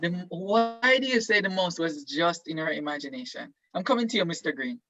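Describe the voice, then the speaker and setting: A male teacher leading an online class discussion, halting with many ums as he prompts students with questions. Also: fairly high pitch, expressive, sharp tone, no room sound. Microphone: video call. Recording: dull, dry video call audio.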